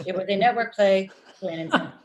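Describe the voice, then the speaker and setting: A woman in her thirties playing a blunt, literal-minded, murderous office robot in a comedy table read, with deadpan, formal phrasing. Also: medium pitch, fast, highs cut off, close to an everyday voice. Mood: deadpan, judgmental. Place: indoor room at home, video call. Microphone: video call.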